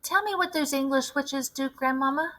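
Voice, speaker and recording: A woman's voice: high-pitched, normal speed, pushed and bright, pitched above the performer's own voice. A woman voicing an anxious, curious young boy in a storybook read-aloud.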